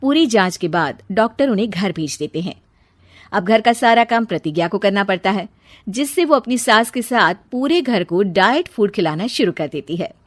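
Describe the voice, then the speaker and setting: A woman's voice: medium pitch, expressive, normal speed, no echo, clean studio sound. A woman reading scripted story narration.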